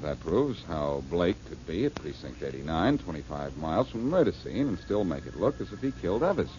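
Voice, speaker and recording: An actor playing a confident detective who explains how a crime was done, in a 1940s radio drama. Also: male voice, deep voice, big pitch swings, brisk, darkish tone, a little hiss or hum. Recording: dull 1940s radio recording.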